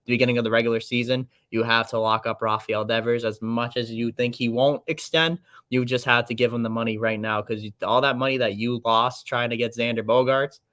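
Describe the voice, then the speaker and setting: A man co-hosting a casual sports podcast, talking in a rambling, monotone way. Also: medium pitch, a little fast, few pauses, no room sound, clean studio sound. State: slightly raspy throat. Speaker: podcast co-host.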